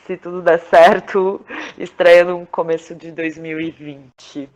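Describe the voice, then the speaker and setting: A Brazilian woman from Porto Alegre speaking reflectively as an interview guest, halting and searching for her words. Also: on the low side, flat delivery, darkish tone, poor audio. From Porto Alegre, southern Brazil. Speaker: podcast guest, theatre director, playwright and actress.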